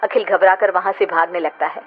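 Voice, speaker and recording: A woman's voice: lowish voice, steady tone, fairly quick, a little hiss or hum. A woman giving dramatic scripted narration of a horror story. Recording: muffled audio with loud music bed.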